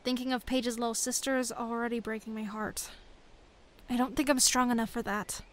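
A worried, soft-hearted rebel played by a woman with a high voice. She speaks earnestly, in the manner of a sci-fi audio drama. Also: little pitch movement, brisk, natural voice. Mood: sorrowful, tender. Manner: earnest, concerned. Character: caring, worried female rebel returning from a mission.

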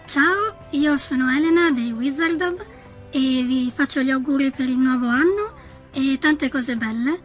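A woman's voice: high-pitched, measured pace, soft background music. A young woman, an amateur voice actor, casually greeting viewers close to the camera.

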